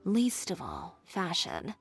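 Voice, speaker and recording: A woman's voice: fairly low pitch, huge pitch swings, takes it slowly, soft background music, heavily acted. A woman playing a poised, assertive young fashion designer in a video game, speaking in a composed, confident, wry way. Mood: reflective, resolute. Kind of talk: video game character dialogue.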